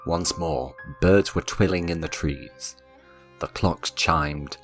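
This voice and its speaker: Extremely deep-voiced man telling a grave tale. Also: takes it slowly, a bit bright. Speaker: storyteller. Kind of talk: dramatic scripted story narration. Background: instrumental music.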